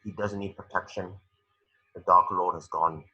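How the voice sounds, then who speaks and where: A man acts out a bitter, anguished wizard at a table read. The voice is low and very tense, with a rough husk to it. He speaks haltingly, on a slightly dull recording. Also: steady tone, bright, forceful tone, exaggerated voice. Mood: grieving, bitter. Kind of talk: screenplay table read acting.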